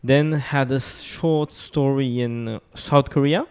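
Twenty-something guy from romania, interview guest, kinda thinking out loud, lots of restarts. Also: mid-range voice, steady tone, measured pace, clear, resonant tone. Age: 20s. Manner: thoughtful, searching for words with restarts. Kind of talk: casual interview chat.

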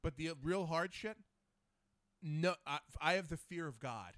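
A man's voice: a little high, a little slow, a bit bright, dry recording, clean studio sound. A man in his forties hosting a casual podcast chat, loose and profane, rambling into stories. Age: 40s. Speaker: podcast host.

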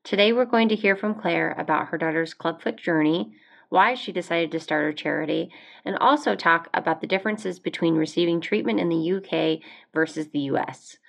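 A woman's voice: lowish voice, brisk, gravelly. A woman with an American accent, hosting a conversational podcast interview. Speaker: podcast host.

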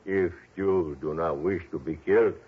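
A threatening Mexican gunman speaks in formal, clipped phrases. His voice is very deep and husky, on a muffled vintage radio recording. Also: steady tone, moderate pace. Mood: cold menace. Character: menacing Mexican henchman holding a gun. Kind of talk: 1960s radio drama acting.

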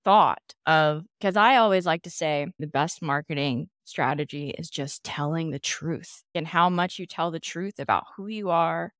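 A woman's voice: low voice, a little slow, hardly any pauses, a bit bright, dry recording, studio quality. A woman hosting a podcast, asking questions and responding with enthusiastic affirmations. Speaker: podcast host. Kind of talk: casual interview chat.

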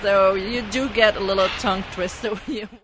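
A woman's voice: mid-range voice, steady tone, brisk, loud music behind the voice, rough recording. A woman from the Netherlands with a Dutch accent, a native Dutch speaker, telling stories from her flight attendant days and laughing often. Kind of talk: casual anecdote telling.

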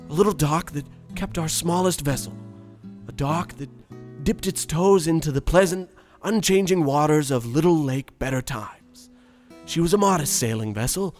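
A man playing a nostalgic, oblivious, privileged old man who reminisces in a genteel, unhurried, folksy radio storytelling style. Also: on the high side, lightly acted. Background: plucked guitar music.

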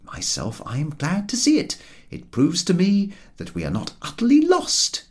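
A man's voice: high-pitched, wildly swooping pitch, normal speed, pitched above the performer's own voice, heavily acted. A man voicing a sardonic, grumbling Englishman in a storybook reading, with sarcastic, emphatic, pointed delivery.

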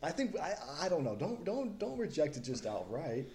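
A man's voice: a little high, wildly swooping pitch, moderate pace, mellow, slight background noise. A man in his late twenties co-hosting a podcast, chatting in an upbeat, joking and reassuring way. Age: late 20s. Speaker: podcast co-host. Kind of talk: casual chat. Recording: echoey room, faint ambience.

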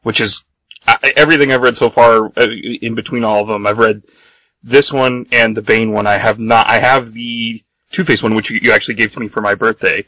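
Bright-voiced, lowish man co-hosting a podcast. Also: steady tone, a little fast, no room sound, low-quality recording. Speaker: podcast co-host. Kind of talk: casual chat.

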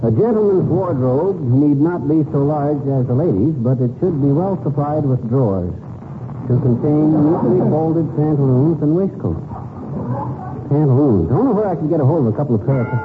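An actor playing a blustering husband with pompous, flowery manners in a 1940s radio comedy. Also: male voice, on the high side, expressive, moderate pace, lightly acted. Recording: tinny, noisy 1940s radio recording.

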